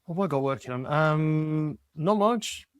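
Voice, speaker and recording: A man's voice: a little high, measured pace, clear, resonant tone, no room sound, clean studio sound. A man talking casually as a podcast guest, rambling and repeating phrases for emphasis. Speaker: podcast guest, music educator. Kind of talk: casual chat.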